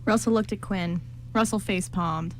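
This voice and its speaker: A woman's voice: mid-range voice, normal speed. A woman reading scripted story narration for an amateur radio play. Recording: clearly noisy amateur recording.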